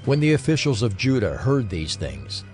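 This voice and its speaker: A man's voice: medium pitch, very animated, moderate pace, darkish tone, soft background music. A man narrating scripture from a script as the storyteller of an audio drama.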